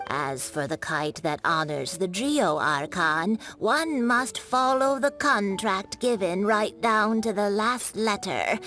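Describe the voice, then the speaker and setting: A woman voicing a proud, wise old female artisan in a video game, with a deliberate, old-fashioned way of speaking. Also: medium pitch, fairly bright tone. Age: older. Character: elderly woman kite maker, proud, wise craftswoman. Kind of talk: video game cutscene dialogue. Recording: music behind the voice.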